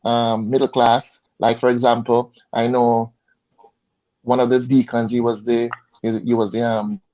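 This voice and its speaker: A Jamaican man speaks as a guest in a casual interview. His voice is mellow and relaxed, and he stops and repeats words while finding his point. The audio is slightly muffled and lo-fi, as from an online call. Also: mid-range voice. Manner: halting, repeats words as he searches for them. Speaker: interview guest, insurance advisor. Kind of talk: casual conversational interview answers.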